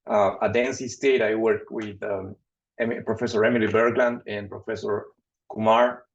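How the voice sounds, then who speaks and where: Gravelly low-voiced man from Ecuador. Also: steady tone. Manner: halting, repeats words often. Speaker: panelist, assistant professor. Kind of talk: webinar self-introduction. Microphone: video call. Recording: dull lo-fi video call audio.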